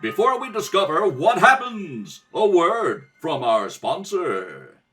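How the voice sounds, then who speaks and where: A man's voice: high voice, sing-song, slow pace, fairly bright tone, far from the mic, soft background music. A man giving theatrical, dramatic narration in the style of an old-time radio adventure serial. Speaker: narrator, rehearsal reader. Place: kitchen table at home. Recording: home recording, slightly off the mic.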